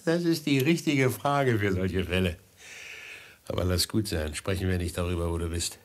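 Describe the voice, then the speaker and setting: A man's voice: deep voice, normal speed, close to an everyday voice. An actor playing a gleeful, chatty hangman who fusses over his condemned prisoner with theatrical, cajoling affection in a 1950s radio play. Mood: sly, soothing.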